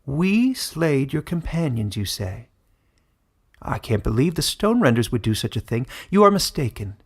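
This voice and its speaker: A man's voice: medium pitch, very animated, moderate pace, slightly rough. A man voicing a cruel, mocking woman ruler in a fantasy audiobook, haughty and theatrical in delivery. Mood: gloating. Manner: scornful, sneering, theatrical. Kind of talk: dramatic fantasy audiobook character reading.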